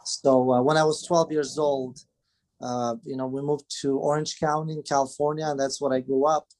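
Romanian accent guy, 40s, lots of uh and um, talks casual and halting. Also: fairly high pitch, level tone, a little mellow. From Transylvania, Romania. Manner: hesitant, many filler sounds. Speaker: guest, band manager and father. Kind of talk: casual interview chat.

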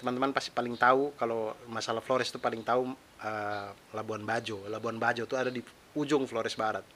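A man's voice: mid-range voice, fairly even intonation, a little fast, fairly bright tone. Chatty eastern Indonesian man, faint hiss. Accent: mixed eastern Indonesian. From East Nusa Tenggara, Indonesia. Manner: chatty, self-deprecating, laughs often. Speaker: podcast host, stand-up comedian. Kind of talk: casual solo podcast monologue.

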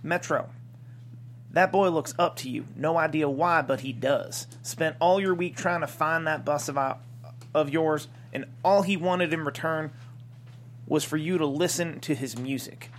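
Sardonic rural lawman male actor, dry comedic read, moderately high pitch, faint background hum. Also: a little slow, natural voice. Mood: dry scorn. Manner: dry, sarcastic, unimpressed. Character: sardonic, folksy small-town desert lawman. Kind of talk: comedy script table read.

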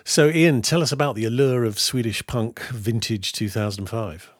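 A man's voice: mid-range voice, normal speed, no echo, studio quality. A man hosting a music podcast, chatting casually about albums and laughing easily. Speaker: podcast host.

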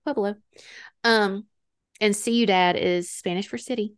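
A woman, roughly in her thirties or forties, hosting a casual true-crime podcast chat in a wry, self-deprecating way. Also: mid-range voice, steady tone, a little slow. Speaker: podcast host.

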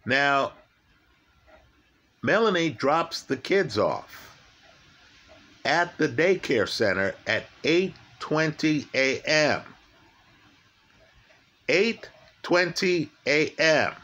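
A man's voice: a little high, big pitch swings, bright, forceful tone. A man talking through a criminal case, stressing key facts and checking in with his listeners. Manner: slow, emphatic, repeats key facts and asks "right?". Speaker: true crime commentator. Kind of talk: true crime case commentary.

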